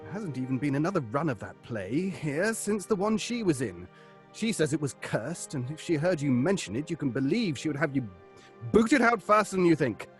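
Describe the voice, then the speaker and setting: A seasoned theatre man in an audio drama. He is reassuring yet cautious, with animated intonation over faint music. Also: a little high, normal speed. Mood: alarmed, insistent. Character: kindly but superstition-wary male stage actor, a seasoned colleague. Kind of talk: dramatic audio fiction acting.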